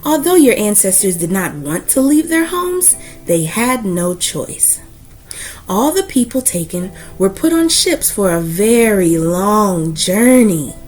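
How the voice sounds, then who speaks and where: A woman reading a children's book aloud as host, with deliberate, emphatic phrasing and an expressive storytelling delivery. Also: medium pitch, soft background music.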